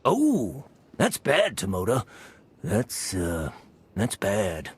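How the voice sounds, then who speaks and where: An actor playing a vain, bumbling scoundrel gang leader in a video game, pompous and theatrical with dramatic pauses. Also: male voice, fairly low pitch, wildly swooping pitch, breathy edge, full character voice. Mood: uneasy. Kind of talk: video game character acting.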